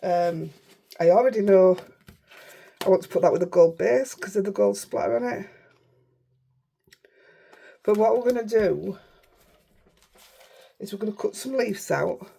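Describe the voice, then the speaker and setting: A middle-aged woman with a Yorkshire accent, hoarse and unwell, chatting through a casual crafting tutorial in a croaky voice and rambling as she goes. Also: mid-range voice, unhurried, long pauses, warm tone. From Yorkshire, England. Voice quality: croaky, rough voice. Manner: chatty, rambling, self-interrupting. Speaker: craft channel host.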